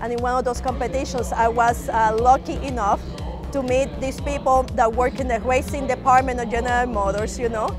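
A woman talks in a high, clear voice, explaining things and checking in with the listener. She is interviewed outdoors on a clip mic. Music plays clearly behind her. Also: steady tone, brisk. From Latin America. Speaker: program manager. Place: outdoors at a racetrack.

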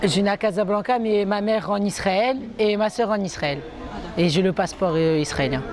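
A man's voice: sits high, brisk. A seventeen-year-old boy from Morocco, answering an interview earnestly and repeating words. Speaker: student. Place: courtyard at night. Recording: crowd chatter behind. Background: people chatting.

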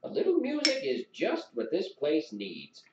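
Man voicing a folksy old village regular, higher-pitched, roomy. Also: wildly swooping pitch, measured pace, lo-fi sound. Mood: friendly, nudging. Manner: rustic, colloquial, outspoken. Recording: roomy, distant mic, noisy with clicks.

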